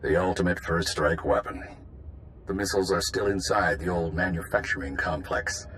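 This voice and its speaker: An actor playing a confident spymaster in a video game, briefing a covert squad with authority. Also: male voice, deep voice, normal speed, fairly bright tone, a little hiss or hum. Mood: assured briefing.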